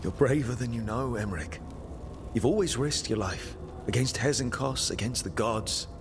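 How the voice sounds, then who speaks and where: An actor playing a supportive, plain-spoken adventurer in a fantasy game, sincere and encouraging. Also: male voice, medium pitch, expressive, moderate pace, music in the background, natural voice. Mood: reassuring.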